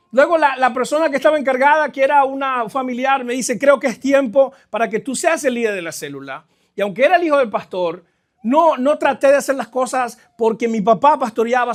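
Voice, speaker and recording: A middle-aged man with a Caribbean Spanish accent giving a conversational, halting sermon as a guest pastor, addressing the congregation directly. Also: very high-pitched. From New Jersey, of Caribbean family. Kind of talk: live sermon, personal testimony. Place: church, speaking to a congregation.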